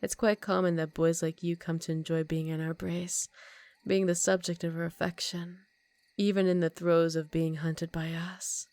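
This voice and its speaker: Low, teasing vampire countess acted by a woman. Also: little pitch movement, darkish tone, dry recording, lightly acted. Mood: seductive, coaxing. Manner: slow, teasing, condescending, with breathy half-whispers. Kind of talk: ASMR roleplay.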